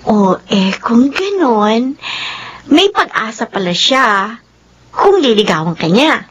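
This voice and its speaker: A woman's voice: fairly high pitch, sing-song, slow, fairly bright tone, a little hiss or hum, full character voice. A woman playing a teasing, inquisitive young country cousin in a Filipino radio drama. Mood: teasing, curious.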